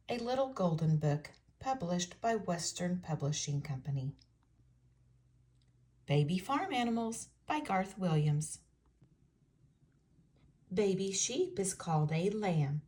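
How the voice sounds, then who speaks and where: A woman reading a children's storybook aloud in an expressive, carefully enunciated storytelling way for young listeners. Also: fairly low pitch, slow pace, pauses often.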